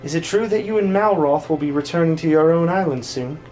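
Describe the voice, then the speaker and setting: Man doing a polite, earnest villager, highish voice. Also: a little fast, a little mellow, slight background noise, lightly acted. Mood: grateful, earnest. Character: polite, earnest villager with formal, gentle speech.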